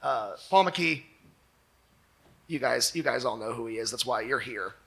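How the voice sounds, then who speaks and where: A man hosting a live comedy show on stage, giving sarcastic commentary in a halting, stammering way. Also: medium pitch, animated, vocal fry, sharp tone, slightly rough. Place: on stage before a live audience.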